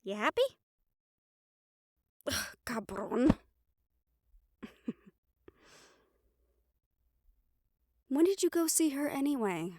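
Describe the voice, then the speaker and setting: A playful, caring siren-banshee, acted by a woman for an intimate audio roleplay. Her voice sits a little high and she takes her time, teasing her partner with open affection. The recording is close and dry, with hardly any room sound. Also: sing-song, takes it slowly, a little mellow, no echo, exaggerated voice. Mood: mildly annoyed, wry.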